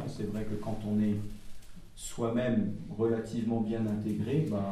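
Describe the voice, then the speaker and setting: Man, mellow voice, halting public talk, reading notes aloud, heavy hall echo, distant mic. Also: fairly low pitch. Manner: halting, with frequent pauses and restarts. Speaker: speaker summarizing group feedback at a church gathering. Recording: very echoey hall, voice from far off the mic.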